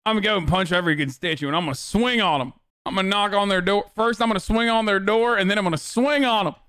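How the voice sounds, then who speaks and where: A man's voice: sits high, fast talker, a bit bright, smooth, dry recording, clean studio sound. A man doing sarcastic, incredulous live stream commentary, repeating himself for emphasis and swearing freely. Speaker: streamer reacting to a recorded call.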